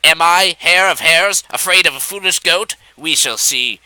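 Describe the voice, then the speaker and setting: A man voicing a kind-hearted, excitable male hare who brags about his bravery, in a storybook reading. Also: on the high side, unhurried, clear, resonant tone, no room sound, voice pushed up, poor audio. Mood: bold.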